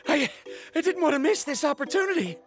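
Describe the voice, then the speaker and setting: High-voiced, hesitant young scholar. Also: female voice, expressive, brisk, soft background music. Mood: apologetic. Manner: hesitant, earnest. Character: young female scholar, earnest, hesitant, self-doubting. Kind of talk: video game voice acting.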